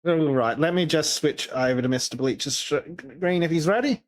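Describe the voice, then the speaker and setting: A man hosting a casual podcast call, easygoing and quick to laugh. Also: fairly high pitch. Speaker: podcast host.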